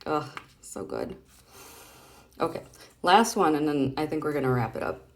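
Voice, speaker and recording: A woman's voice: deep voice, lively intonation, normal speed. A woman hosting a casual read-aloud of comic strips, chatting playfully and expressively as she explains things directly to a child.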